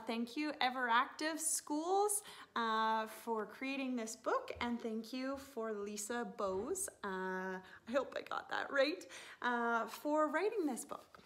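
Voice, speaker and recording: Animated, higher-voiced woman reading slowly to children. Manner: animated, with gasps and drawn-out words. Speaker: teacher reading a picture book to young pupils. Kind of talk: expressive children's story time read-aloud. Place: living room, indoors on a sofa.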